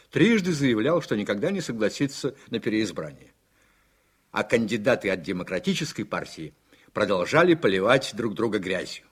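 A man narrating a radio play in measured, articulate scripted storytelling. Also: medium pitch, breathy edge.

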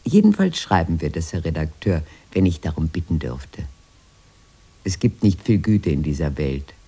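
A man's voice: very deep voice, very animated, moderate pace, warm tone, slightly rough, exaggerated voice. An actor in a radio drama playing a wry, plaintive grown man who recounts a harsh childhood with ironic earnestness. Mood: wry, resigned.

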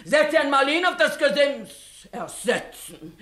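A scolding village mother, declaims with big swooping pitch in a roomy studio. She is middle-aged, sharp-tongued and full of mocking outrage, in the grand manner of a 1960s radio play. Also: very animated, measured pace, full character voice. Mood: indignant, mocking. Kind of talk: 1960s German-language radio play, classical stage acting.

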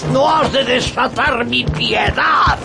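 An actor playing a deluded, raving knight in a radio drama, declaiming bombastically. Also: male voice, extremely high pitch, slow, vocal fry, loud music behind the voice, heavily acted. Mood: battle fury. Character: deluded raving knight fighting imaginary giants.

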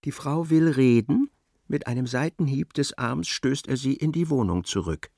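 A man's voice: mid-range voice, lively intonation, normal speed, gravelly, mellow, dry recording, studio quality. A man narrating a literary radio play in a precise, wry, dramatic storytelling manner.

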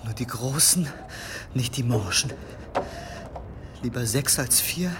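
An actor playing a timid, superstitious monk in a German audio drama, nervous and prone to murmuring. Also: male voice, mid-range voice, lively intonation, slow, very noisy. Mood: fearful muttering. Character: timid, superstitious monk who tends the pigeons.

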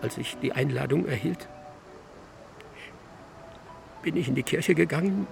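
A man's voice: a little high, fairly quick, creaky, soft background music. An actor in a German radio drama playing a distraught, guilt-ridden man, brooding and declamatory, who repeats himself in agitation. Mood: confessional. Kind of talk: radio drama acting, literary monologue.

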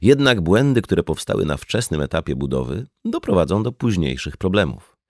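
A man reading an audiobook as scripted narration, in a measured, explanatory manner. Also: sits low, big pitch swings, dry recording, studio quality. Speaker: audiobook reader.

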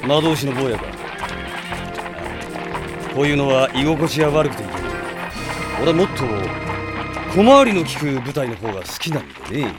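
An actor playing a seasoned, cynical mercenary who talks roughly and casually in a radio drama. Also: male voice, mid-range voice, big pitch swings, normal speed, long pauses, music in the background, natural voice. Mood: wry, wary. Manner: rough, laid-back, cynical. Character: gruff, wry seasoned mercenary soldier.

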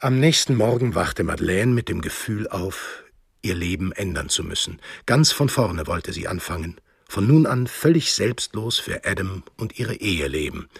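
A man's voice: deep voice, lively intonation, moderate pace, gravelly, husky, dry recording, studio quality. A man reading scripted literary narration as the narrator of a radio play.

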